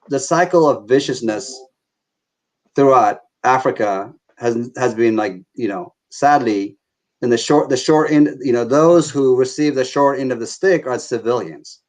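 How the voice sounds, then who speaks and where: A man moderating an online panel discussion on a video call, speaking earnestly with lots of pauses and fillers. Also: mid-range voice, darkish tone. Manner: halting, filler-heavy, earnest.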